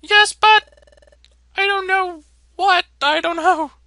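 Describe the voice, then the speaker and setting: A man's voice: very high-pitched, fairly even intonation, slow pace, sharp tone, no echo, pitched above the performer's own voice, heavily acted. A man voicing a nervous, stammering little mole creature on sentry duty in a video game. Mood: flustered. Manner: stammering, flustered. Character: nervous small Diglett sentry creature, flustered and squeaky.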